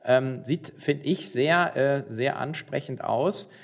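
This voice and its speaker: A man hosting a business podcast, talking in a relaxed way with many fillers and restarts. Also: mid-range voice, takes it slowly, gravelly. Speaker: podcast host. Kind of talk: casual interview.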